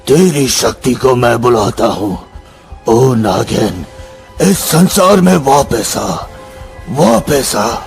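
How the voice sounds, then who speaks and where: A scheming, power-hungry sorcerer in a dubbed cartoon story. His voice sits well below plain talk and drags in slow, ritual chanting with a menacing edge. Faint music plays underneath. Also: mid-range voice, lively intonation, creaky.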